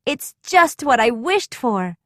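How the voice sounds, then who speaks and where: A woman voicing an excited, inventive young girl in a children's storybook, eager and cheerful. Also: on the high side, takes it slowly, no echo, clean studio sound. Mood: happy.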